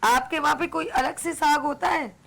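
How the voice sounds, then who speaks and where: A woman's voice: sits high, normal speed, creaky, a bit bright, slight background noise. A woman with a Pakistani Urdu accent hosting a live morning TV show, chatting and teasing playfully, her throat a little sore. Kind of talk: casual live TV chat.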